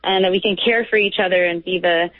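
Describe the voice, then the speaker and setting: A woman's voice: lowish voice, steady tone, fast, no echo. A woman as a radio guest on a tinny phone line. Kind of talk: earnest radio interview.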